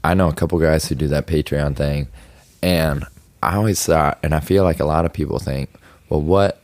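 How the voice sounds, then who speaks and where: Casting note: man from Nebraska, very deep voice, laid-back podcast guest, dry close sound. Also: fairly even intonation, moderate pace, a little mellow, dry recording. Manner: laid-back, friendly, says "man" a lot. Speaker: guest musician. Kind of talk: casual chat. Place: home studio room.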